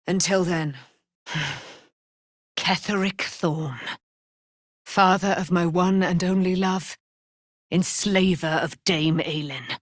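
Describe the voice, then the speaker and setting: A woman's voice: lowish voice, takes it slowly, pauses often, no echo, clean studio sound. A woman playing a proud immortal warrior, daughter of a moon goddess, in theatrical fantasy game style with grandiose, archaic diction. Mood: grave, accusing.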